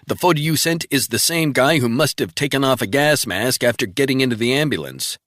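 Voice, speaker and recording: A man voicing a brisk male intelligence analyst reporting findings over the phone, in a dry audiobook reading. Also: mid-range voice, expressive, fairly bright tone, dry recording, voice pushed up, lightly acted. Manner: brisk, rapid, matter-of-fact reporting.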